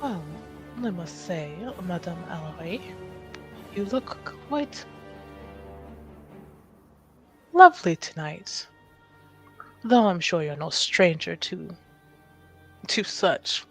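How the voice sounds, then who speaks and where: A woman playing a reluctant, politely flirtatious noblewoman in a tabletop game, speaking formally, haltingly and coyly. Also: on the low side, lively intonation, over music. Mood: coy flattery.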